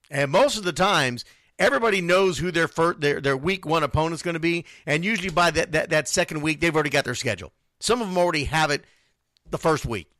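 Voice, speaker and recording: Male sports talk host, blunt and emphatic, slightly high-pitched with a sharp, forceful edge. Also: dry recording, studio quality. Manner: blunt, emphatic, repeats phrases for stress. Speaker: youth football radio show host. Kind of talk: informal sports talk monologue.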